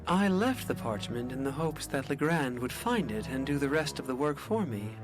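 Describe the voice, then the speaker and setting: An actor playing a smug, scheming villain in a video game, suave and theatrical. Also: male voice, mid-range voice, sing-song, normal speed, natural voice. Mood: gloating. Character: smug, scheming masked villain after treasure. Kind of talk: video game character acting. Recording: music clearly behind the voice.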